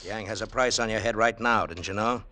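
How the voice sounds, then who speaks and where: A man's voice: lowish voice, fairly quick, sharp tone, slight background noise. An actor playing a cool, commanding male adventurer who also narrates, in dry, wry 1940s radio drama style. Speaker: lead character and narrator.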